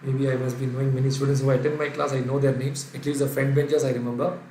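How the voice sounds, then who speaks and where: A man's voice: medium pitch, little pitch movement, fast talker, mellow, reverberant, a little hiss or hum, rough recording. A man from Kerala with an Indian English accent and Sindhi as his first language, giving a live online revision lecture. He dictates the working step by step and reads out viewers' names, answering them as he goes. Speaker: finance lecturer.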